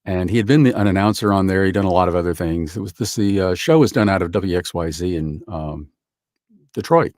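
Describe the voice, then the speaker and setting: A man with a very low voice talks about his past in an easy, unhurried way. The sound is dry and close, with no room echo around it. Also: a little mellow. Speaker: voice actor guest. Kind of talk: casual conversation over a call.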